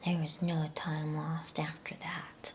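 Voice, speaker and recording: A woman softly whispering an ASMR bedtime story, reading in a slow, soothing manner with pauses between phrases. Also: deep voice, flat delivery, slight background noise.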